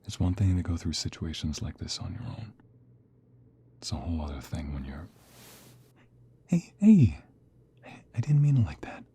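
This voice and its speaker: A man playing a protective, tender mobster in a hushed, intimate and urgent ASMR romance roleplay, with a breathy, gravelly voice. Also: deep voice, big pitch swings, moderate pace, long pauses, heavily acted. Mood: tender, cautious. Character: protective, tender mobster rescuing his lover.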